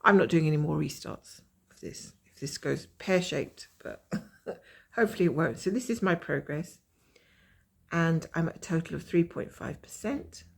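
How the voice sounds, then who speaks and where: A woman with a croaky voice from a cold, chatting casually and rambling as she shows her cross-stitch progress from home. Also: low-pitched, normal speed. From Scotland. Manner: rambling, chatty, self-mocking.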